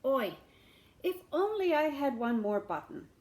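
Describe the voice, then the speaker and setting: A woman's voice: high voice, sing-song, a little slow, a little mellow, pitched above the performer's own voice. A woman voicing a wily male beggar in a storybook read-aloud, imploring and theatrical, with exclamations and a persuasive manner. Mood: sly wishing. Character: wily, pleading old-world male beggar, dramatic and persuasive.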